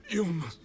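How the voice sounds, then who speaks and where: An actor playing a frail, ailing old temple leader in a video game, solemn and strained, with a weak, coughing delivery. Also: male voice, very high-pitched, long reverb tail, exaggerated voice. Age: older. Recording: game audio under loud music.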